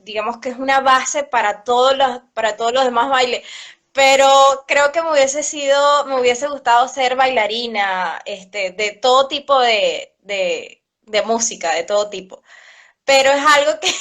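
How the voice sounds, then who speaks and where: A woman with a Venezuelan accent, a cello teacher answering interview questions in a chatty way, often laughing and filling pauses. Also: high-pitched, fairly bright tone. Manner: chatty, laughs often, many fillers like "este". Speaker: cello teacher and orchestra program director.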